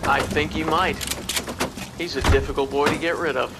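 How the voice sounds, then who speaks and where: An actor playing a composed, knowing official in a video game drama, measured and insinuating. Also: male voice, fairly high pitch, fairly even intonation, over loud music, lightly acted. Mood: smooth, insinuating. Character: composed, knowing official dealing with police chief.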